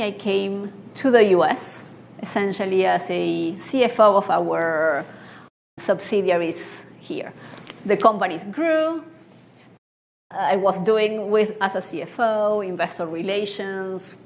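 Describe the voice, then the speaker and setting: A woman's voice: mid-range voice, slight background noise. Earnest woman from Argentina. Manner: earnest, halting with restarts. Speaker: panel guest, treasurer. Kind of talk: career talk on a panel. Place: conference stage.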